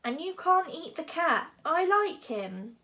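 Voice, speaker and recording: A woman's voice: high voice, very animated, normal speed, a bit bright, voice pushed up. A woman voicing an earnest, put-upon young Viking boy in a storybook. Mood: exasperated. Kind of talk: storybook character voice.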